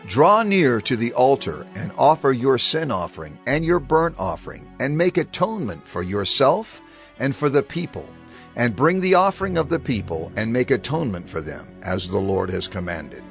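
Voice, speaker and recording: A man's voice: mid-range voice, sing-song, normal speed, vocal fry. An actor playing a commanding male prophet in a dramatized scripture reading, solemn and authoritative. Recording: background music under the voice.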